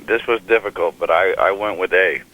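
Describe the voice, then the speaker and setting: A man's voice: low-pitched, fairly quick. A man calling a radio contest by phone, chatting casually. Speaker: contest caller. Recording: telephone call with faint noise.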